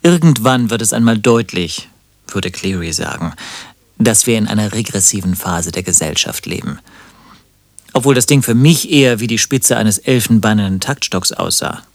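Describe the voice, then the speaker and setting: A man's voice: on the low side, animated, moderate pace, dry recording. An actor in a radio play playing a sardonic, self-pitying man with a dry, ironic way of talking. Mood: wry, melancholic. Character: sardonic, self-pitying lovelorn man narrating his own misery. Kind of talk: radio play narration and dialogue.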